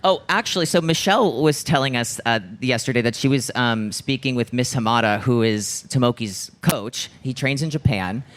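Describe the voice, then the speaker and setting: A podcast host chatting casually at a live show, telling stories in an informal, animated way peppered with "like". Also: mid-range voice, moderate pace, few pauses. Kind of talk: casual live podcast chat about figure skating. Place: live show in front of an audience.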